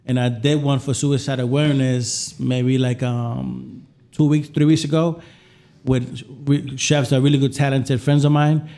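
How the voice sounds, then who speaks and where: A man's voice: mid-range voice, level tone, unhurried, gravelly, mellow. A man from Puerto Rico talking casually as a podcast guest, telling personal stories in an informal way and often repeating his phrases. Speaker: guest chef.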